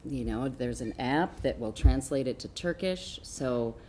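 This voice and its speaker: A woman's voice: extremely low pitch, expressive, unhurried, mellow, slight background noise. A woman on the school staff speaking with admiration about a student at a board meeting. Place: meeting room.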